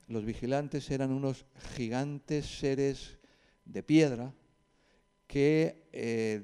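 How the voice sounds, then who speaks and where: A man with an accent from Spain, lecturing informally to a group in a didactic, conversational way with hesitant pauses and asides. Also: medium pitch, warm tone, smooth.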